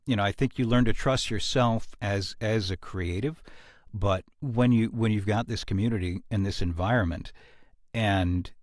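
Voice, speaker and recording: A man talking casually as a podcast host about creative work, in an earnest, reflective way with halting pauses. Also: lowish voice, no room sound, studio quality. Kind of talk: casual behind-the-scenes discussion.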